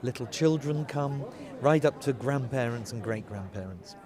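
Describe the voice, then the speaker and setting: A man's voice: medium pitch, normal speed, over loud music. A man who works in theatre, giving an explanatory interview in a conversational way. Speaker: pantomime theatre practitioner. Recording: busy crowd background.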